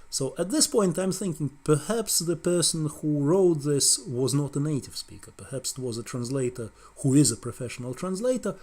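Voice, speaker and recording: A man's voice: on the high side, darkish tone, breathy edge. A middle-aged man with a Russian accent giving a wry, conversational lecture on translation, often ending points with tag questions. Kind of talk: explanatory video lecture.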